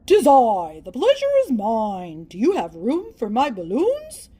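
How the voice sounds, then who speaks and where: A woman's voice: a little high, huge pitch swings, unhurried, warm tone, slightly rough, voice pushed down, exaggerated voice. A woman voicing a pompous, old-fashioned, grandly polite dinosaur visitor in a children's storybook. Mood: grand, courtly.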